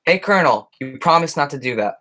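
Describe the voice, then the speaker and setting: A young man acting a friendly, plain-spoken policeman in a high school radio play, folksy and polite. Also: expressive, fast talker, bright, forceful tone, faint music behind. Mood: folksy, easygoing.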